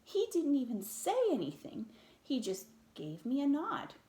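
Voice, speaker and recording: A woman reading a children's picture book aloud in an expressive, theatrical way, with dramatic pauses and emphasis. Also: high voice, reverberant.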